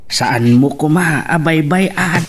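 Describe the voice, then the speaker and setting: Actor as slow-talking, caring older Ilocano father. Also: on the high side, far from the mic, music in the background. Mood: caring, admonishing. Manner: unhurried, fatherly. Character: older, caring, admonishing father in family drama. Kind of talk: Ilocano audio drama acting.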